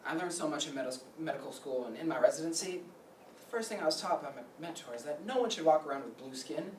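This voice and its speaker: Fast-talking good-humored doctor, played by a man. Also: on the high side, lively intonation, darkish tone, natural voice. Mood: upbeat. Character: chatty, good-humored male doctor, old childhood friend. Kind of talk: staged play reading. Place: meeting room staged reading, distant camera. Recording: echoey room from far off, noisy.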